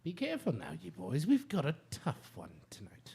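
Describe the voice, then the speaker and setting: An actor playing a conspiratorial criminal lieutenant in a radio drama, brisk, businesslike and dutiful. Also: male voice, on the high side, huge pitch swings, vocal fry, darkish tone, slightly rough, exaggerated voice. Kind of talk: theatrical radio drama acting.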